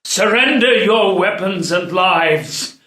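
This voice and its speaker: A man's voice: sits high, expressive, takes it slowly, pushed and bright, full character voice. A man playing a stern older jailer torn by duty, declaiming grandly in a play read-through. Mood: commanding. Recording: roomy, echoey sound.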